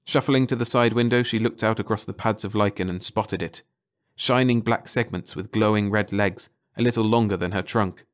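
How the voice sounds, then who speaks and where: A man's voice: on the low side, normal speed. A man reading a scripted story as an audiobook narrator.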